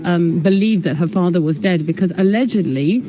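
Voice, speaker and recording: A woman's voice: fairly low pitch, moderate pace, warm tone, poor audio. A woman speaking as an investigative reporter, explaining her findings in a broadcast interview.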